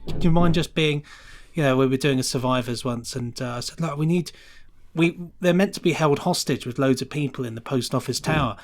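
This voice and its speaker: A man chatting casually and enthusiastically as a sound designer guest on a podcast, with an animated, chatty manner. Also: mid-range voice, a little hiss or hum. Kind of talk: casual enthusiastic interview chat.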